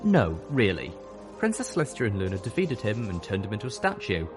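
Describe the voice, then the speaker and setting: A man's voice: fairly low pitch, very animated, fairly quick. A man doing a gossiping robed pony. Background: music.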